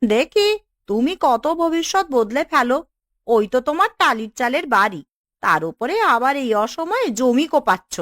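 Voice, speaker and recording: A woman's voice: high voice, very animated, moderate pace, a bit bright, no echo, studio quality. A woman voicing a mocking, nosy village auntie in a cartoon, skeptical and taunting. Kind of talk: cartoon dialogue.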